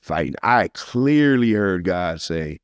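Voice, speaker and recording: Male podcast host, American, very low voice, close dry sound. Heartfelt storyteller with a preacherly, encouraging streak. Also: takes it slowly, smooth, no room sound. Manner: animated storyteller, slips into encouraging preacher-like address. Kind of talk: casual, heartfelt testimony and conversation.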